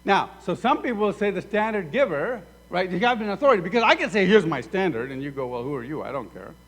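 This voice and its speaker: A man's voice: high-pitched, fast. A man from Sudan preaching a sermon as pastor, acting out both sides of imagined conversations. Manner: animated, acts out both sides of dialogues. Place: church sanctuary. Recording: echoey hall reverb.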